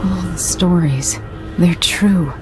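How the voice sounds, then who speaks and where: An actress playing a grave, determined young deposed empress in a video game, speaking in a hushed, wondering way. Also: female voice, on the low side, slow. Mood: awed, determined. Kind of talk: video game cutscene voice acting. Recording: loud music behind the voice.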